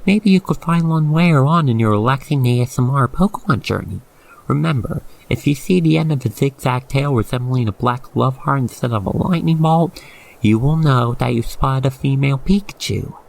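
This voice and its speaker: A man guiding the listener through an ASMR roleplay in expressive, theatrical, wordy storytelling narration. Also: medium pitch, normal speed, creaky, low-quality recording.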